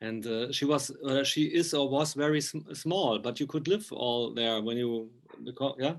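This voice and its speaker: A man interviewing informally, with halting, hesitant phrasing in non-native English. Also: medium pitch. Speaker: interviewer, magazine journalist.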